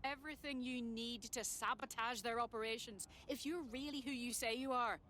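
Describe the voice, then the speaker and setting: A woman's voice: a little high, steady tone, normal speed, a bit bright, a little hiss or hum, lightly acted. A woman playing a paranoid conspiracy theorist in a video game, talking in an insistent, emphatic manner. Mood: suspicious, insistent. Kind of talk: video game cutscene dialogue.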